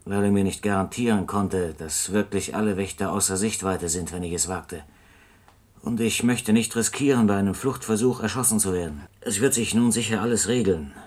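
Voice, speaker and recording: An actor playing a composed, trusting condemned prisoner in a German radio play, speaking in a measured, formal way. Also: male voice, on the low side, darkish tone, natural voice. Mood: calm, trusting. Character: wrongly condemned male prisoner, composed, trusting, writing home. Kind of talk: German radio play acting, letter monologue.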